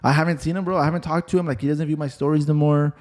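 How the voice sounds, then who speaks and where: A man's voice: on the high side, steady tone, very fast, a little mellow. A man in his twenties co-hosting a casual podcast, chatting with a friend in slangy, swear-peppered banter. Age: 20s. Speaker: podcast co-host.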